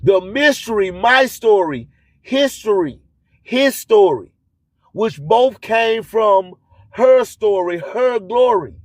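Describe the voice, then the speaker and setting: Guy radio host, high voice, talks real slow n emphatic like preaching, super dry sound. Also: animated, dry recording. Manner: preachy, emphatic, repeats catchphrases. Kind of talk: slow spoken-word motivational monologue.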